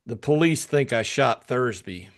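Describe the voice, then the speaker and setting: Casting: male actor as cynical, weary private eye for audiobook drama; clipped, gruff, mid-pitched. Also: expressive, measured pace. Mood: weary, grim. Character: hard-boiled, cynical male private detective, world-weary. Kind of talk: audiobook character acting.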